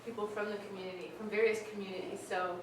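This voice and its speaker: A woman's voice: mid-range voice, level tone, rapid-fire. A woman giving an informal introductory speech to an audience as the hosting director of a cultural center. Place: indoor cultural center room. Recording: echoey, noisy room from far off. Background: room noise.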